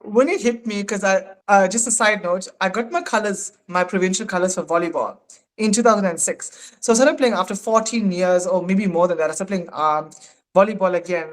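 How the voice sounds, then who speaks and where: A South African man in his thirties, a podcast guest in casual, animated chat who laughs often. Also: high-pitched, brisk, rough recording. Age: 30s.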